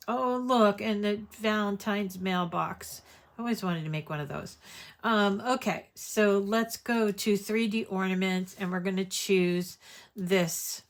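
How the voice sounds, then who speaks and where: A woman hosting a crafting tutorial, talking in a chatty, rambling way while stuffed up with a cold. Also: lowish voice. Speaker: crafting show host.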